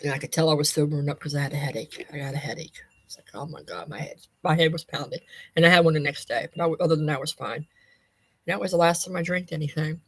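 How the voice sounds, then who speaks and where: A woman's voice: low voice, slightly rough, no echo, rough recording. A woman in her fifties chatting casually to viewers with a life update, rambling in folksy wording and often repeating or correcting herself. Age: 50s. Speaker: personal vlogger giving a life update.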